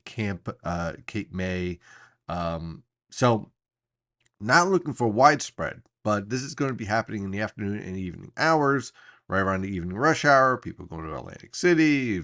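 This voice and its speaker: A man with a fairly low voice gives an unscripted weather briefing. He talks like a forecaster walking viewers through maps, explaining each point with emphasis and deliberate pauses.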